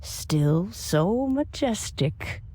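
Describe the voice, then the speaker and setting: A woman voicing an ageless, knowing immortal sage in a game cutscene, telling old lore with theatrical, deliberate pauses. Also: medium pitch, big pitch swings, dark tone, a little hiss or hum. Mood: knowing, reverent. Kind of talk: dramatic game cutscene storytelling.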